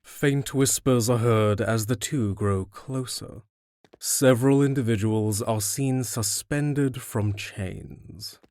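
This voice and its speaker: A man's voice: medium pitch, takes it slowly, a little mellow, no room sound, clean studio sound. A man reading scripted narration for an audio drama.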